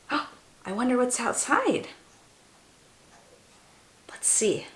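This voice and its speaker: A woman reading a rhyming children's story aloud as a storytime host, with expressive, cooing delivery aimed at young listeners. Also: a little high, very slow, echoey room. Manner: animated, gasping, cooing asides to young listeners.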